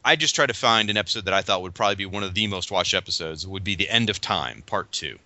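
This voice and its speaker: A man's voice: low-pitched, expressive, quick pace, sharp tone, no echo. A man hosting a casual podcast panel chat, quizzing his guests with enthusiasm. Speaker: podcast host. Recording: dull lo-fi dry audio.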